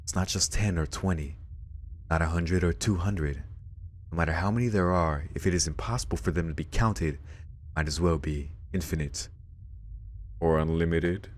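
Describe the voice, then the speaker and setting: A man hosting a let's play, reading visual novel narration aloud in a measured storytelling way. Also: deep voice, fairly even intonation. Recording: game music behind the voice. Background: game music.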